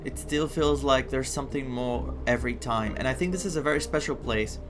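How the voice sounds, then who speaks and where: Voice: medium pitch, a little fast, background noise. A game streamer from Greece giving casual commentary and reacting aloud while playing. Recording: game music behind the voice. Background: game music.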